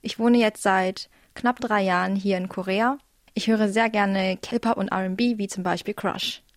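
A woman's voice: mid-range voice, fairly even intonation, a little slow, dry recording, clean studio sound. A woman in her twenties from Germany, a new presenter, introducing herself in a friendly, upbeat way. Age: 20s. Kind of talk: scripted self-introduction.

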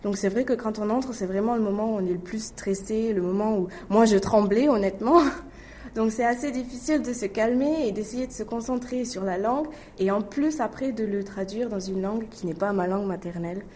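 A woman's voice: mid-range voice, a little fast, a little mellow, a little hiss or hum. A woman, an interpreting student, giving candid and personal interview answers. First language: not Korean.

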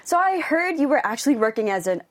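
A woman in her thirties from Hong Kong, hosting a casual radio chat with curious, quick reactions. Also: sits high, big pitch swings, slight background noise. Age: 30s. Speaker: radio DJ host.

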